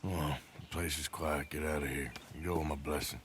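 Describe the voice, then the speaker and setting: A man's voice: a bass voice, steady tone, a little fast, a bit bright, slight background noise. An actor playing a weary, ailing American outlaw in a game, blunt and sardonic in his plain speech. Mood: resigned, blunt.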